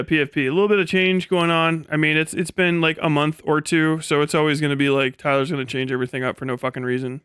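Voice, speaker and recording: Smooth-voiced, sweary man hosting a livestream. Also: fairly high pitch, a bit bright, dry recording, clean studio sound. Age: late 30s. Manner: sweary, rambling, self-deprecating. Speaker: livestream host. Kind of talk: casual livestream chat.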